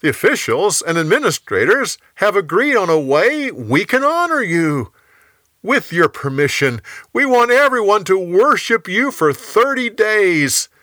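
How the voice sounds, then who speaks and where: Man acting fawning, scheming royal official, high-pitched. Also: sing-song, sharp tone, dry recording, studio quality, heavily acted. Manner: fawning, drawn-out, feigned reluctance with stammers. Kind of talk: dramatized Bible storytelling.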